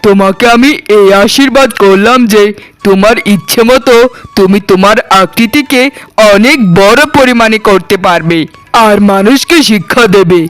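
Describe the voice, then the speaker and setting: A man's voice: sits high, normal speed, a bit bright, clean tone, faint music behind. A man voicing a kindly, solemn giant who grants boons in a cartoon. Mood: solemn, consoling. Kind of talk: cartoon voice acting.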